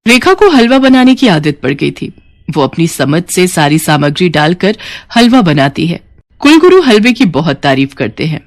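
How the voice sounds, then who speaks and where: Lowish-voiced woman narrating a story. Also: very animated, normal speed. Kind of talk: scripted moral-story narration.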